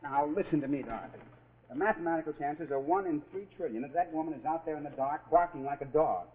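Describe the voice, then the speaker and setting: An actor playing a nervous young husband who rambles and explains to reassure, in 1940s television suspense style. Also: male voice, a little high, fast talker, lightly acted. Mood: reassuring. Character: nervous, reasoning young husband sheltering from storm. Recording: tinny 1940s television soundtrack with loud music.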